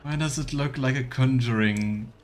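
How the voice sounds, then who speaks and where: A man's voice: medium pitch, normal speed, faint music behind. A man doing casual let's play commentary as a game streamer, chatting and reading dialogue choices aloud.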